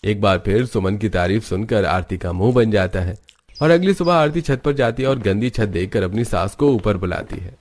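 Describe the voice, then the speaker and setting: A man's voice: lowish voice, animated, moderate pace, darkish tone. A man narrating a scripted moral story, with noise in the recording.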